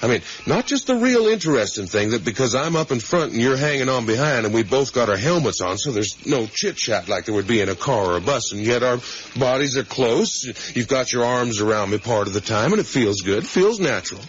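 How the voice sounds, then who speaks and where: A man acting an eager, intellectual middle-aged father in a 1980s radio play. He muses at length and stresses his points, with a widely swinging pitch. The recording is dull, with faint noise. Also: expressive, brisk, close to an everyday voice. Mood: musing, animated. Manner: rambling, ruminative, emphatic. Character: musing, eager academic father on a motorcycle trip with his son.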